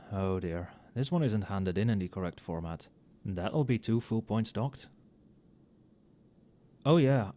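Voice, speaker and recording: A man playing an overworked, tipsy magic professor in a casual ASMR roleplay, muttering softly with dry, wry asides. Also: lowish voice, close to an everyday voice. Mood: mildly exasperated. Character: tipsy, overworked male magic professor grading late at night.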